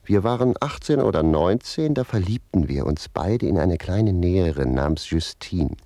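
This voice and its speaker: Deep, mellow storytelling manservant. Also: male voice, expressive, moderate pace, dry recording. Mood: teasing, wry. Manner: savouring, theatrical storyteller. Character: wry talkative manservant recounting his amorous youth to his master. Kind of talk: German radio play dialogue and storytelling.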